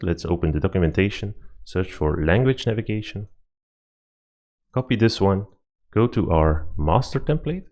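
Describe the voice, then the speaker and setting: A Dutch man with a low, deep voice walks through a software tool step by step. He speaks plainly and calmly, and his phrasing is slightly non-native. It sounds like a voice-over recorded on a desk microphone. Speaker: software tutorial presenter. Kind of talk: screen-recorded software walkthrough.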